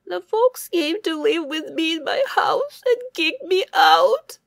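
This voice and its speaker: Here is a meek little hare from a children's storybook. The voice is very high and plaintive, drawing out its words slowly and swooping up and down. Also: female voice, animated, creaky, no echo, exaggerated voice. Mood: sad, doubtful.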